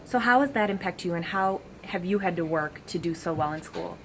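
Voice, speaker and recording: A woman interviewing students, asking question after question briskly. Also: lowish voice, fairly bright tone, slight background noise.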